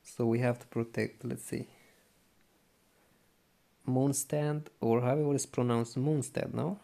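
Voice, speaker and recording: A man's voice: mid-range voice, fairly even intonation, brisk, creaky, mellow. A man giving casual, laid-back game commentary in short reactions. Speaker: gamer commentating on gameplay.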